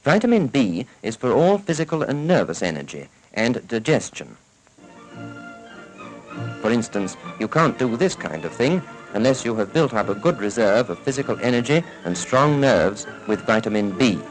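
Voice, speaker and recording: British 1940s film narrator, man, lively intonation. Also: mid-range voice, moderate pace, faint music behind, rough recording. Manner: formal, instructive. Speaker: narrator of a public information film. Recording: vintage 1940s film soundtrack.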